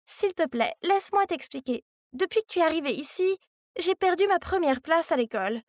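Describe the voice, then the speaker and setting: A woman voicing a desperate, resentful high school girl, pleading her case in an animated story. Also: brisk, no echo, studio quality.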